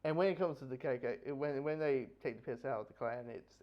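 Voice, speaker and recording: A man's voice: mid-range voice, brisk. A man giving a casual spoken comic book review, rambling and repeating phrases as he goes. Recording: dull, lo-fi audio.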